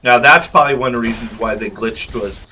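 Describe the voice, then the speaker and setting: Bright, forceful-voiced man reviewing a game. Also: medium pitch, fairly quick, slight background noise. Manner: rambling, informal, chatty asides. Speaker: game reviewer and channel host. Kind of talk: casual gameplay commentary.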